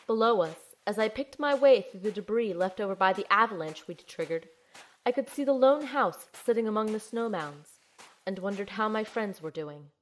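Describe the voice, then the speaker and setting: A woman's voice: medium pitch, normal speed, lightly acted, rough recording. A woman playing an earnest, determined young operative, telling and acting out her mission expressively in an audio drama. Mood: reflective, suspenseful. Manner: expressive, storytelling. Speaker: narrator and lead character.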